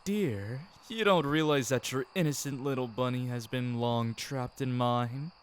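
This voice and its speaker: A man's voice: mid-range voice, measured pace. A man performing an ASMR romantic roleplay as a tender, teasing boyfriend, speaking affectionately to his partner. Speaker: amateur audio roleplay performer. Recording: clearly noisy, ambience behind voice. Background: ambient background sound.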